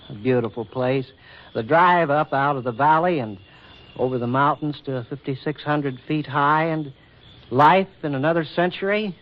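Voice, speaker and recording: An actor playing a courtly, earnest government official who woos in stiff, hesitant, formal speech, in 1940s radio drama style. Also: male voice, a little high, moderate pace, lightly acted. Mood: courteous. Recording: muffled, noisy 1940s radio recording.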